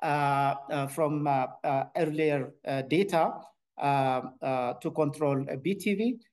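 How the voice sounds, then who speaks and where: A man from Ethiopia with an Ethiopian accent, giving a conference presentation on his research and pausing often with filled pauses and restarts. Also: fairly high pitch, darkish tone.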